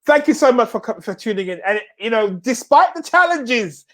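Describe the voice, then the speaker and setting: A man's voice: extremely high pitch, big pitch swings, moderate pace, fairly bright tone. Stammering man hosting a talk show. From Jamaica. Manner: stammering restarts, repeats phrases. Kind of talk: casual interview chat.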